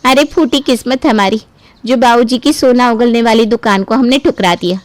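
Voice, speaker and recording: A woman's voice: fairly high pitch, moderate pace, no echo, pitched above the performer's own voice, lightly acted. A woman voicing an envious, scheming younger sister-in-law, exclamatory and lamenting, in a cartoon family story. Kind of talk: acted dialogue in a Hindi cartoon family story.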